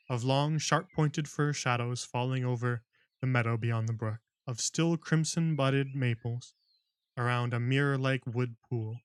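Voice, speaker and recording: A man's voice: medium pitch, clear, resonant tone, no room sound. A man hosting a livestream book club, chatting with viewers and laughing often before reading a novel aloud.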